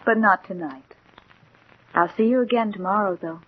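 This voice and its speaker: An actress playing a mysterious, composed woman with a measured, beguiling manner in a 1940s radio mystery. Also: medium pitch, slight background noise, close to an everyday voice. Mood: composed, enigmatic. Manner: measured, enigmatic, gently insistent. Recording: thin, muffled 1940s radio recording.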